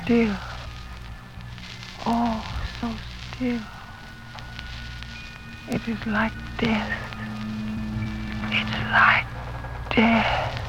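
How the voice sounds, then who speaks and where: An actress in an old radio drama playing a dreamy, haunted young woman in a trance, halting and hushed. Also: on the high side, flat delivery. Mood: entranced. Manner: hushed, halting, drifting into whispers. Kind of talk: 1930s radio drama acting. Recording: muffled vintage radio recording, loud music.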